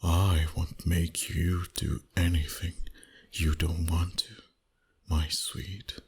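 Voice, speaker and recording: A man playing a seductive, dominant vampire boss in an intimate ASMR roleplay, breathy and husky, often whispering, with a slow teasing delivery. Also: deep voice, little pitch movement, heavily acted.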